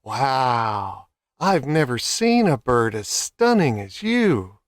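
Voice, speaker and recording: A man voicing a sly, flattering fox with a gushing, smooth-talking manner in a children's fable. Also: medium pitch, slow, no echo, studio quality.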